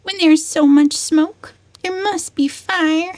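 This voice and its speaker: A woman's voice: very high-pitched, fairly even intonation, slow pace, darkish tone, no echo, voice pushed up. A woman voicing a timid, suspicious female cousin in a storybook, solemn and shivery. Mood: fearful, solemn.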